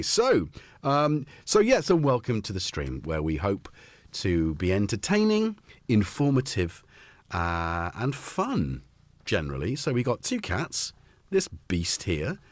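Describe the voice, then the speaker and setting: A man chats his way through a livestream from his home studio, jokey and easily sidetracked. His pitch swings around a lot. The sound is close and dry with no echo. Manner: rambling, self-interrupting, jokey. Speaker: livestream host. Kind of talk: casual livestream chat.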